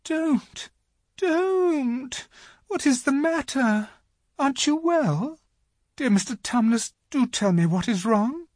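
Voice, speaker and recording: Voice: medium pitch, huge pitch swings, a little slow, slightly rough, no room sound, clean studio sound, voice pushed up, heavily acted. A storybook narrator voicing a kind-hearted young girl, polite and earnest, easily distressed.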